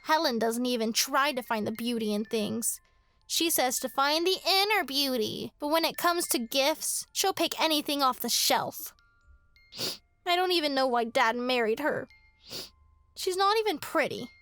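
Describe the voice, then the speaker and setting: A woman's voice: sits high, expressive, a little slow, fairly bright tone, dry recording, faint music behind, heavily acted. A woman playing a spoiled, petulant young girl in a horror radio drama. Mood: resentful. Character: spoiled, sulky young girl, vain and lonely.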